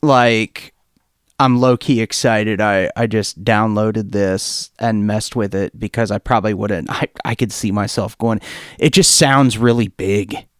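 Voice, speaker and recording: A man's voice: lowish voice, steady tone, a little slow, dry recording. Casual man presenting a tutorial, close dry sound. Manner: informal, enthusiastic, thinking aloud. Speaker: music production tutorial presenter. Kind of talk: casual software demo, talking off the cuff.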